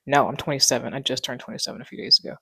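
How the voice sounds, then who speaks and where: A woman's voice: a bass voice, racing, vocal fry, slightly rough, dry recording. A woman in her twenties chatting casually and rambling at home, with lots of fillers, on a clip mic. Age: 20s. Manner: relaxed rambling, many fillers. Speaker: vlogger. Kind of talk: casual chat. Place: living room at home. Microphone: wireless clip mic.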